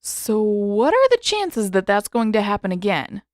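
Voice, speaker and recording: A woman's voice: medium pitch, big pitch swings, measured pace, a little mellow, no echo, clean studio sound. A woman voicing a brash, worried teenage boy who talks in an emphatic, pointed way. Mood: urgent, worried.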